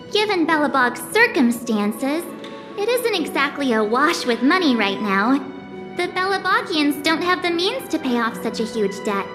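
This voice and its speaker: Very high-pitched perky teenage girl. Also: in a large hall, heavily acted. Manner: informal, blurting, animated. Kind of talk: video game voice acting.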